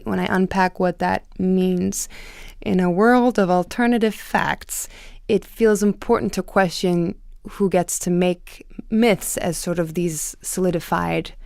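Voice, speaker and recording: A woman's voice: lowish voice, steady tone, unhurried, gravelly, darkish tone, no room sound, clean studio sound. A woman from Turkey talking reflectively as a guest in an interview. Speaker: playwright and actor, interview guest.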